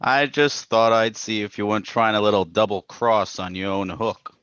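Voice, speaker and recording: A man's voice: on the low side, normal speed, fairly bright tone, smooth, no room sound, lightly acted. A man playing a sly, mistrustful, sardonic racketeer in a 1940s-style radio drama, in dull, muffled sound. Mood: wary, needling. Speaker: actor in an old-time radio drama revival.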